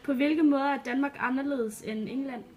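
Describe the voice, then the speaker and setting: High-pitched woman interviewer, brief plain questions, faint noise behind. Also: fairly quick, darkish tone.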